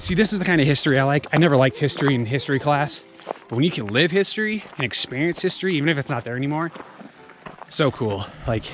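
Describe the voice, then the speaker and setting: A man in his thirties walking a wooded trail and talking to a handheld camera, chatty and excited about what he finds. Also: medium pitch. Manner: enthusiastic, chatty, exclaims often. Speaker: vlogger. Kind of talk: casual walking vlog narration. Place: outdoors on a wooded mountain trail. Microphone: camera held at arm's length.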